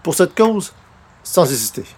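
A man's voice: high voice, very animated, a little slow, darkish tone, breathy edge, heavily acted. A man playing a polite, put-upon newspaper columnist in a comic radio play, formal and mock-dramatic. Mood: polite, resigned. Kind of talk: comic radio play in 1930s-40s style.

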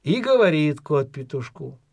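A man's voice: fairly high pitch, sing-song, moderate pace, gravelly, a little mellow, no echo. A man narrating a children's fairy tale in a folksy, theatrical storytelling style.

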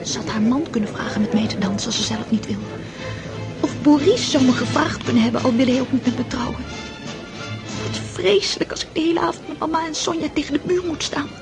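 A woman's voice: high-pitched, fairly quick, music in the background. An actress in a Dutch radio drama playing an eager, romantic young girl at her first grand ball, dreamy and yearning. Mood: yearning, distressed.

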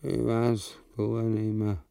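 A man's voice: lowish voice, completely flat delivery, slow pace, creaky, warm tone. An actor playing a pompous, cowardly, gluttonous king in a radio farce, with a broad Austrian accent and grandiloquent, crude manner. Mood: drowsy, muttering. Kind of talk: theatrical radio play acting in broad dialect. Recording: reverberant studio radio play.

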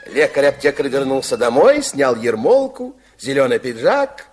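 A man's voice: medium pitch, sing-song, normal speed, slightly rough. A man playing a playful fairy-tale narrator in an old radio play, reading scripted storybook narration. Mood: playful, wry. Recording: old radio drama with music.

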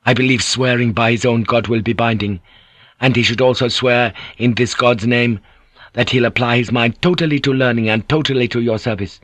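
A man voicing a confident samurai counselor, measured, deferential and persuasive, in an audiobook story. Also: mid-range voice, fairly even intonation, fairly bright tone, no room sound, natural voice.

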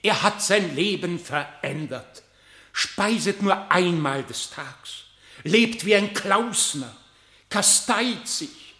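A smooth-tongued badger pleading for his kin in a classic radio play. His fairly high male voice rises and falls widely over deliberate verse. The room around him echoes. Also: lively intonation, sharp tone, heavily acted. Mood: earnest pleading. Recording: roomy, echoing radio studio recording.

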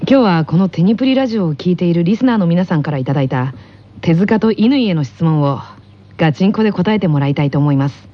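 A woman voicing a calm, polite middle-school boy who hosts an anime radio school broadcast in a measured, gentle manner. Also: lowish voice, voice pushed down. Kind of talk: anime character radio segment. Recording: slightly dull radio recording, faint noise.

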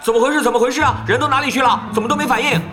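An actor playing a hyper, talkative young male star gamer in an audio drama, chattering in rapid bursts. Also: very high-pitched, music in the background. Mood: agitated.

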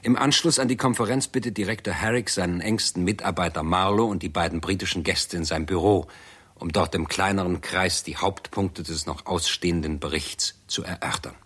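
An actor as the sober narrator of a 1960s German science fiction radio drama, reading in a factual, precise style. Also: male voice, low-pitched, a little fast, a bit bright, breathy edge.

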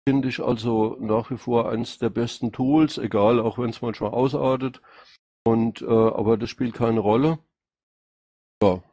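A man's voice: mid-range voice, fairly even intonation, unhurried, warm tone, no echo. Middle-aged man with a Rhine-Hessian accent, dry sound. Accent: Rhine-Hessian, mixing Hessian and Palatine. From Rhine-Hesse, Germany. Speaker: political candidate answering questions. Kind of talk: informal spontaneous self-presentation. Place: online voice chat.

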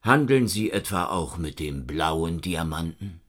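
Persistent inspector, husky, lively intonation. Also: male voice, sits low, measured pace. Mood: questioning. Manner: insistent, probing questioning. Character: dogged middle-aged Paris police inspector.

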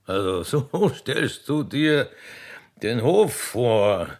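A man playing a kindly, amused old Austrian emperor in a radio play, halting, courtly and indulgent. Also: mid-range voice, big pitch swings, exaggerated voice.

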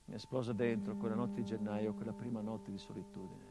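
A bitter, haunted grieving son. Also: male voice, steady tone, a little fast, creaky, loud music behind the voice. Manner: bitter irony, shifting from loud outbursts to low hushed tones. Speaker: stage actor. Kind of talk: theatrical monologue.

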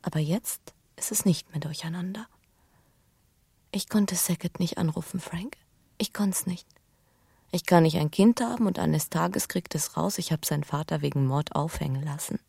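Breathy, intimate young woman in radio drama. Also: deep voice, no room sound, exaggerated voice. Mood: hushed confession. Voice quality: breathy, often sinking to a whisper. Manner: hushed, confiding, deliberate phrasing. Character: young pregnant woman, earnest and intimate lover with a guilty past. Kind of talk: German radio drama acting.

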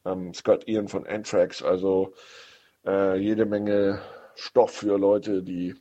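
A man reviewing films on the radio in an unscripted, halting way, searching for words. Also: deep voice, flat delivery, mellow, muffled recording. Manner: halting, hesitant delivery with searching pauses. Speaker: film critic on a radio show. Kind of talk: unscripted radio film recommendations.

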